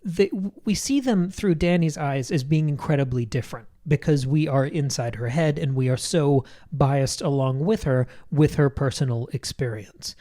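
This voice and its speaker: A man's voice: fairly high pitch, moderate pace, hardly any pauses, mellow. A man co-hosting a book club podcast, thinking aloud in articulate, layered literary analysis. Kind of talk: literary discussion, analytical chat.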